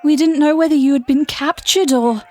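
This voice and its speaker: An actress playing a grave, dutiful healer in a fantasy audio drama. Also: female voice, high voice, moderate pace, a little hiss or hum, natural voice. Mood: grave concern. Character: grave, dutiful female healer among warriors.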